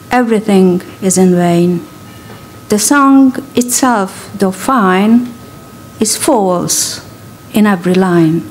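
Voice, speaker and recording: A woman from Hungary with a Hungarian accent, introducing and reading literature at a public event, hesitant in her remarks and deliberate when she reads. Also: mid-range voice, darkish tone, slight background noise, poor audio. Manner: measured, deliberate poetry reading. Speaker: guest reader. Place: event hall.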